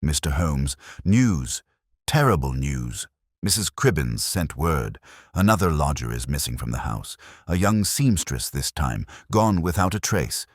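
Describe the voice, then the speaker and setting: Man playing anxious, shaken Victorian gentleman. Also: a bass voice, very animated, measured pace, no echo, studio quality, exaggerated voice. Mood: alarmed.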